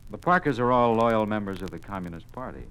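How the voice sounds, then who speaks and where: A man's voice: lowish voice, animated, fast talker, a bit bright. A man with an American accent playing a worried, principled father, grave and earnest, in a 1950s radio drama. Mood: stern. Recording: noisy old 1950s radio recording.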